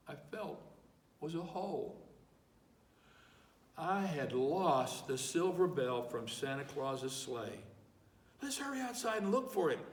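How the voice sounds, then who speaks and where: Slow-reading man in an echoey room. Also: medium pitch. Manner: slow, deliberate, expressive, clears his throat between pages. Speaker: parent volunteer reader. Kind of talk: reading a picture book aloud. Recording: echoey room, mic far off.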